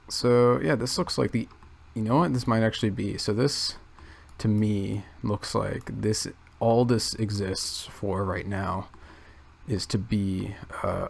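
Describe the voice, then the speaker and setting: A man quietly thinking aloud in a gravelly low register, rambling with many restarts and fillers. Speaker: channel host. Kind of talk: casual rambling commentary.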